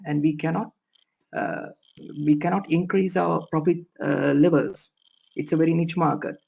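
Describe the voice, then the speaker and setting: Gravelly husky man on a panel. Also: fairly high pitch, a little mellow. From Sri Lanka. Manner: hesitant, many fillers, laughs now and then. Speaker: panelist, trading company executive. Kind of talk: panel discussion answer.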